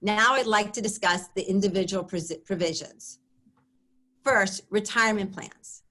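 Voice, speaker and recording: A woman presenting at an accounting webinar, reading prepared remarks in a measured way that drops at the ends of sentences. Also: medium pitch, sharp tone.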